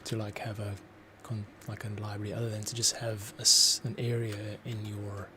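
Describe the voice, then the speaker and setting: A man's voice: fairly low pitch, flat delivery, normal speed, sharp tone, a little hiss or hum. A man, a composer, talking through his scoring process as he works, thinking aloud. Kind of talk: thinking aloud tutorial commentary.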